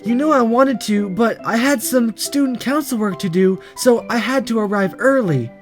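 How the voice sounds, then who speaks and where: A man's voice: extremely high pitch, normal speed, faint music behind, pitched above the performer's own voice. A man voicing a bubbly, chatty, airheaded teenage schoolgirl while reading a visual novel aloud. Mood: chatty, apologetic. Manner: gushing, chatty.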